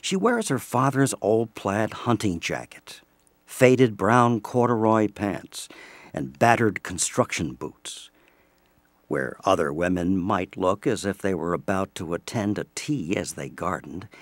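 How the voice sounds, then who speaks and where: Male narrator for audio drama: husky, animated intonation, theatrical and deliberate storyteller. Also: fairly low pitch, no room sound. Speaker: narrator and presenter.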